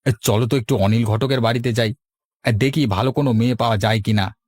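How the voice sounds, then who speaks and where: A man's voice: medium pitch, fairly even intonation, fast, a little mellow, no echo, lightly acted. A man playing friendly, folksy village men and fathers in a cartoon, speaking in an avuncular way. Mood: eager. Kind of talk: animated cartoon dialogue.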